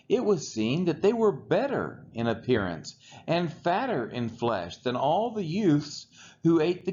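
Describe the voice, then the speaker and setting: Man reading scripture aloud, lively intonation, muffled sound. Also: medium pitch, a little hiss or hum. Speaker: scripture reader.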